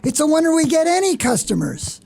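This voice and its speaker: A woman playing a critical, demanding shop boss with a gravelly, husky voice in a radio play. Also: high voice, sing-song, a little fast, a little mellow, exaggerated voice. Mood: grumbling. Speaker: actor. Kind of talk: radio theatre acting.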